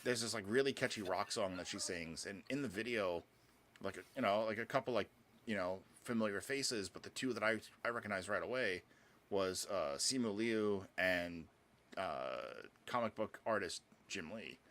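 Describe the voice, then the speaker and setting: A man's voice: on the low side, moderate pace, a bit bright. A man in his thirties co-hosting a podcast, chatting casually and rambling with lots of filler words and swearing. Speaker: podcast co-host.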